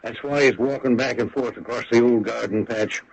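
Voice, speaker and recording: A man's voice: medium pitch, fairly even intonation, normal speed, slightly rough, muffled recording. A man playing a confident, needling character in a radio drama, with a pointed, folksy, clipped delivery. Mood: brisk, wry. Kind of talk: 1970s-80s radio drama acting. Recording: old radio recording with faint noise.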